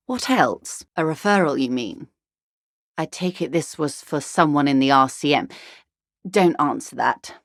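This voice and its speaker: A wealthy middle-aged woman negotiator for a video game. Her voice is low and very closely recorded, with no room around it. She speaks in measured, refined phrases, world-weary and dryly ironic. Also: no room sound, clean studio sound. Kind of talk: scripted game dialogue voice acting.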